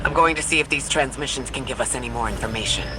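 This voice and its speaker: An actor playing a calm, analytical mission guide and scientist in a video game, speaking in a measured, articulate, earnest way. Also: male voice, medium pitch, heavy background noise, lightly acted. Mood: intrigued, watchful. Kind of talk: scripted game dialogue. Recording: music behind the voice.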